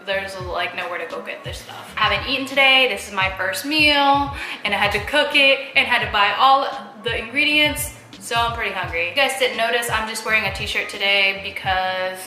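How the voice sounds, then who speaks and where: A chatty young woman eats on camera and talks casually to her viewers, with lots of fillers and easy laughs. Her voice is bright and sharp and bounces around an echoey room. Faint music plays behind her. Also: mid-range voice, moderate pace. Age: young adult. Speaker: mukbang vlogger. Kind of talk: casual chat while eating.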